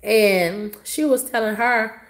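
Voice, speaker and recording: A hoarse woman with a cough, telling a story to her viewers in a blunt, profane, dismissive way. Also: fairly high pitch, slow pace. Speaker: vlogger.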